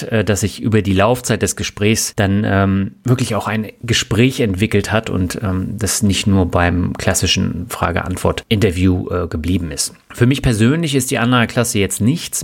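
A man with a slightly low voice hosts a finance podcast, speaking politely and in a measured way. He thanks guests formally and steadily introduces each interview segment. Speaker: podcast host.

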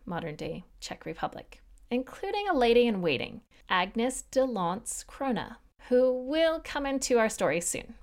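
A woman hosting a history podcast, reading scripted narration in an explanatory way with casual, lightly wry asides. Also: medium pitch.

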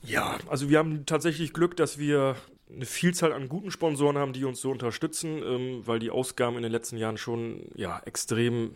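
A man's voice: medium pitch, fairly even intonation, brisk. A man who organizes a job fair, answering interview questions hesitantly with many fillers.

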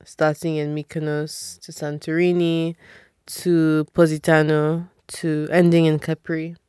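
A woman's voice: sits low, little pitch movement, a little slow, dark tone, clear, resonant tone, dry recording, studio quality. A woman in her twenties co-hosting a podcast, chatting in an easygoing way with lots of fillers. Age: 20s. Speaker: podcast co-host. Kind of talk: casual chat.

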